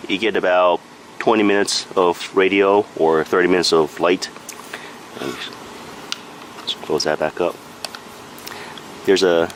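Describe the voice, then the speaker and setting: A man's voice: low-pitched, slight background noise, poor audio. A man giving a casual hands-on product review in a matter-of-fact, explanatory way.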